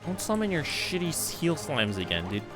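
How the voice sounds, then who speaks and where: A man's voice: on the high side, big pitch swings, normal speed, music in the background. A man giving casual, profane gameplay commentary as he streams, often repeating short phrases. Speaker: video game streamer.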